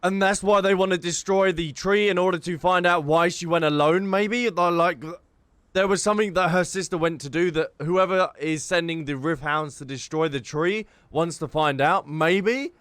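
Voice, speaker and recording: A man's voice: on the high side, moderate pace, a bit bright, clear, resonant tone, dry recording. A man giving casual gameplay commentary as a streamer, reacting and reading dialogue choices aloud into a close mic. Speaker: game streamer.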